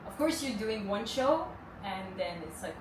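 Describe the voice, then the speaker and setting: A woman from France, a French speaker, talking casually as a podcast guest and often pausing to search for words. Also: medium pitch, expressive. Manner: hesitant, many fillers. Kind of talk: casual chat. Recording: echoey room, mic at a distance, noisy.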